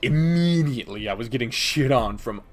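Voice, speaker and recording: A man's voice: medium pitch, lively intonation, moderate pace. A man giving casual, opinionated countdown commentary in a sardonic, sweary way, often interrupting himself like a reviewer talking to his audience. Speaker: video reviewer and podcast host.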